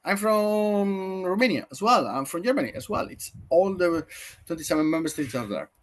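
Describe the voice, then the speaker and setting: A man's voice: sits high, animated, normal speed. A man from Spain with a Spanish accent, explaining things in a webinar over a video call, talking loosely with many fillers and self-corrections. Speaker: webinar trainer.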